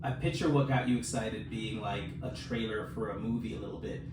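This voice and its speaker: A man's voice: on the low side, steady tone, brisk, over music. A man co-hosting a podcast in casual, playful, slangy banter about books with a friend. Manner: playful, slangy, acts out quoted lines. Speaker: podcast co-host. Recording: echoey room, distant mic, clear noise.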